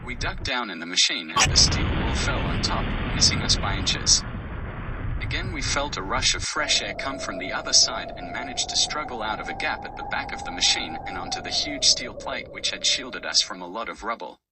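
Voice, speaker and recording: A man's voice: on the low side, lively intonation, normal speed, far from the mic, heavy background noise. A man reading a scripted first-person adventure story as its narrator. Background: music.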